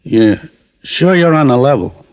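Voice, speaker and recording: An actor playing a suspicious, brusque small-time crook running a watch shop, in a 1950s radio drama. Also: male voice, medium pitch, animated, unhurried, no room sound, natural voice. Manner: brusque, impatient.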